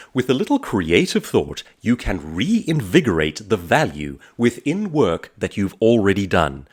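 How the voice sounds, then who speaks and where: A man presenting a motivational business talk in an articulate manner, often posing questions to the listener. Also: fairly low pitch. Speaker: host, author and speaker.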